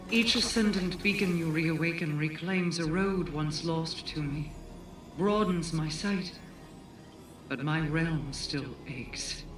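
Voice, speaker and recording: Grave bitter game queen, formal n commanding, big echo, sounds kinda distant. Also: low voice. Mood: grave, wounded. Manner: formal, measured, imperious, dramatic pauses. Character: proud, embittered alien queen, grave and commanding. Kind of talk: dramatic video game cutscene monologue. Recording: echoey, distant, faint music. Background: faint music.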